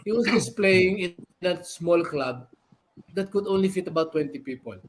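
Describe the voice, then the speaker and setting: Older male, Filipino accent, high register, animated casual raconteur, slight background noise. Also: moderate pace, low-quality recording. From Philippines. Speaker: guest, veteran radio DJ and record store owner. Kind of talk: casual reminiscing chat.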